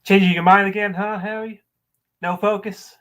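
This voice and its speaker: Bitter, scornful man played by a male actor, higher-voiced, berating someone emphatically in an audition monologue. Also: steady tone, normal speed, a bit bright.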